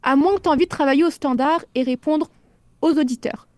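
A woman's voice: high-pitched, a little mellow, no room sound. A woman from the Paris suburbs, a radio host, chatting casually on air and jumping in easily. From Paris suburbs, France. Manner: chatty, quick to jump in, conversational. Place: radio studio.

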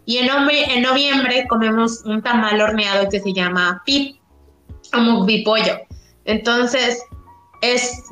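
A woman's voice: a little high, slow pace, pushed and bright, reverberant, faint music behind, rough recording. A woman from Yucatán being interviewed, talking casually and rambling with many restarts and fillers. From Mexico, Yucatán.

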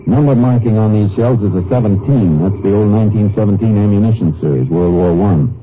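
An actor playing a brisk, observant Texas Ranger investigator, terse and authoritative, in 1950s radio drama style. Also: male voice, on the low side, lightly acted. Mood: brisk, probing. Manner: terse, matter-of-fact, authoritative. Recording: muffled, noisy old radio recording.

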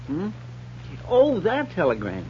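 Casting: deferential frontier sidekick, lightly high male voice, muffled old-time radio. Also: wildly swooping pitch, takes it slowly, full character voice. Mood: dutiful. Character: folksy, obedient deputy sidekick to a marshal. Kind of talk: 1950s radio western acting. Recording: muffled, noisy 1950s radio recording.

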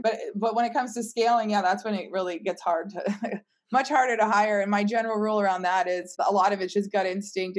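A woman answering interview questions about her work in a casual, informal, explanatory way, as a team leader would. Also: medium pitch. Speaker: tech company team leader, interview guest.

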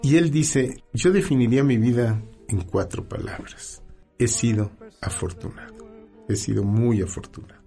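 A man's voice: mid-range voice, moderate pace, gravelly, warm tone, soft background music. A man talking conversationally as a guest on a talk show, recounting and quoting.

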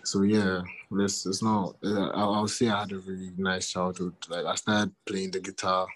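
A man in his twenties with a Ghanaian accent, a musician chatting in an interview, relaxed and often repeating himself. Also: low voice, moderate pace, rough recording. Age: 20s. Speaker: musician guest. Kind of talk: casual interview chat.

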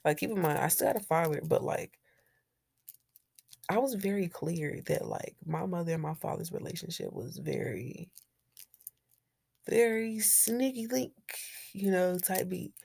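A woman's voice: fairly low pitch, expressive, unhurried, creaky, long pauses, a little mellow, slightly rough, no echo. A young woman hosting a solo podcast, talking in a candid, blunt way with plenty of swearing and laughter. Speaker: podcast host. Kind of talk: casual solo podcast monologue.

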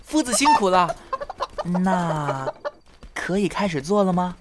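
A man playing a shy, playful, lovestruck young male fox spirit in a period Chinese audio drama, with an expressive, bashful, slightly whiny delivery. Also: high voice, takes it slowly, heavy background noise, exaggerated voice. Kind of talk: ancient-China romance audio drama acting.

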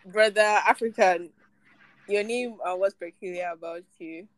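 A woman's voice: mid-range voice, dry recording, poor audio. Young woman host, from Nigeria, teasing banter, easy laughs, remote call. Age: young adult. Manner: playful, teasing, quick to laugh. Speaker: podcast host. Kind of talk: casual chat.

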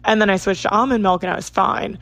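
A woman in her twenties from South Jersey, hosting a podcast in a rambling, chatty monologue, her voice hoarse and raspy. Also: medium pitch, fairly even intonation, fast, fairly bright tone, dry recording. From South Jersey, United States. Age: 20s. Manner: rambling, lots of "like" and asides. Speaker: podcast host.

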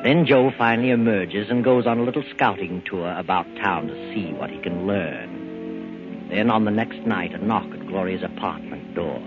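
A sinister, low-pitched narrator tells a crime tale in 1940s radio style. His delivery is ominous and gloating, with music clearly behind him on a muffled vintage recording. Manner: ominous, dramatic, deliberate phrasing.

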